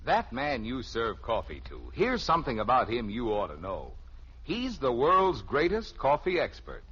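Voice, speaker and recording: Husky, swooping old-time radio pitchman. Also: wildly swooping pitch, moderate pace, fairly bright tone. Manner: folksy, emphatic salesmanship. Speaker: radio commercial announcer. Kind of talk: 1950s radio advertising pitch. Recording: muffled old radio recording.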